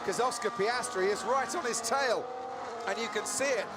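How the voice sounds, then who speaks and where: A man's voice: sits high, normal speed. A man giving live lead commentary on a motor race in an urgent, dramatic way. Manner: urgent, dramatic rhetorical questions. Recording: loud engine and trackside noise behind. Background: race cars and outdoor circuit ambience.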